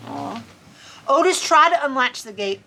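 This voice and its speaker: A woman reading a picture book aloud to children in an expressive, folksy, chatty storytime manner. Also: sits high, measured pace, slight background noise, rough recording. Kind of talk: animated children's story reading with casual asides. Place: on a farm among sheep.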